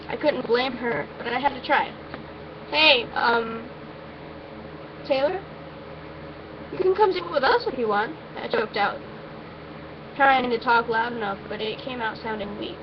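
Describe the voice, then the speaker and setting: Woman, high-pitched voice, amateur story reader, informal delivery with occasional stumbles, noticeable background noise. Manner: informal, stumbles and breaks off with asides. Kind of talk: first-person story reading, informal. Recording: muffled, noisy amateur recording.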